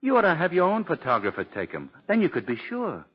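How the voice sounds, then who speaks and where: Undercover man among convicts, lively intonation, slightly muffled old radio crime drama, admiring sidekick front. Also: a little high, fast, husky, exaggerated voice. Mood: flattering. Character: male undercover sleuth posing as admiring fellow convict. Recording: dull vintage radio recording.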